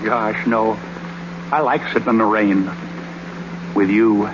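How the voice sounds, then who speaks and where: An actor playing a bashful, tongue-tied young ballplayer in love, stammering and folksy in a 1930s radio comedy. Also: male voice, lowish voice, slow pace. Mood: bashful, wistful. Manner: stammering, folksy ungrammatical speech. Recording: noisy, muffled 1930s radio broadcast.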